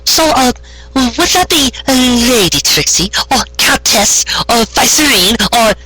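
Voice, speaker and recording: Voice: medium pitch, lively intonation, slow pace, bright, forceful tone, breathy edge, dry recording, voice pushed up, heavily acted, low-quality recording. A storyteller voicing a polite, eager young female pony farmer who speaks hesitantly and deferentially. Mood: awed.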